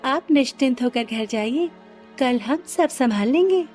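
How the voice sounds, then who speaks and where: A woman's voice: high voice, expressive, measured pace, a little mellow, faint music behind. A woman playing an honest, hardworking food-cart wife in a Hindi cartoon story, earnest and respectful.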